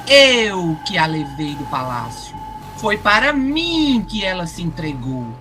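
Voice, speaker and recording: A woman's voice: fairly low pitch, sing-song, slow, over music. A woman playing a brave, defiant teenage boy prince in an audio drama, bold and provocative. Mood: taunting, proud.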